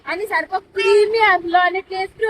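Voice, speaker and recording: Very high-pitched Goan woman. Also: fairly even intonation, moderate pace, a bit bright. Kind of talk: casual food vlog chat. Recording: faint background noise.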